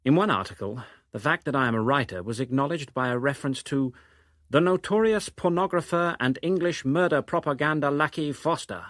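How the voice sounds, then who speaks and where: An actor playing an accused English writer in a radio reading, formal, precise and deadpan as he recounts slanders. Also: on the high side, a little fast, no echo, studio quality, close to an everyday voice. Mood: dry, ironic. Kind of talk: dramatised reading from a novel.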